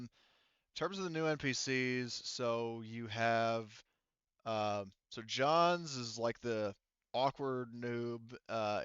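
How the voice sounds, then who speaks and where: Male game master, lowish clean tone, hesitant improvised narration, slightly muffled recording. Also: fairly bright tone, clean tone, dry recording. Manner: halting, hedging, thinking aloud.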